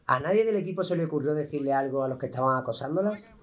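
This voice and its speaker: A man's voice: on the high side, expressive, rapid-fire, close to an everyday voice. An amateur student actor with an Andalusian accent playing a demanding, macho male football coach in a radio play. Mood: stern. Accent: Andalusian Spanish. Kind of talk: amateur radio drama acting.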